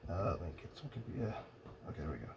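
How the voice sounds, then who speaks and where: A man thinking aloud in a halting, casual way as he tests electronics at a workbench. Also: deep voice, sing-song, gravelly. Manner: muttering, halting, pondering to himself. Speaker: hobbyist demonstrating electronics testing. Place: indoor cluttered electronics workbench. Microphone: old phone used as camera above bench. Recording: noisy phone-camera workshop recording.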